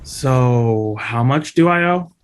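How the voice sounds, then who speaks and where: A man's voice: medium pitch, expressive, clear, resonant tone. A man playing an anxious, polite young college student, pleading and hesitant, in a script table read. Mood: nervous, hesitant. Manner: hesitant, halting.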